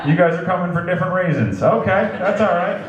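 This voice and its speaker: A man's voice: high voice, fast, lo-fi sound. A man in his thirties doing a stand-up set, loose and self-deprecating, chuckling at his own jokes. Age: 30s. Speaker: stand-up comedian. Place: comedy club stage. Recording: echoey club with loud crowd. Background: laughing crowd.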